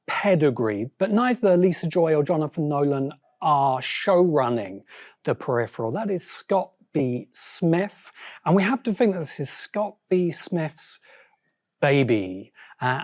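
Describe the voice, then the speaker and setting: A man narrating a scripted video essay as host, phrasing deliberately with pauses for emphasis. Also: fairly high pitch, a little mellow, no room sound, studio quality. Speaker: host, science fiction critic.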